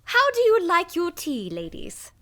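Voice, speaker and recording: A woman plays a prim princess tutor in a comic audio musical. She is bossy and teacherly, very high in pitch, with bright tone and animated delivery. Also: measured pace, exaggerated voice. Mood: prim, amused.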